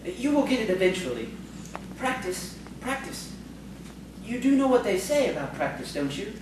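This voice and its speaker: A woman's voice: medium pitch, sing-song, normal speed, close to an everyday voice. Very echoey, timid schoolteacher played by a woman. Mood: gently encouraging. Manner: hesitant, prim, courteous. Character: polite, timid, bookish male schoolteacher. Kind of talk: audio theatre acting. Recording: distant, echoey, noisy stage recording.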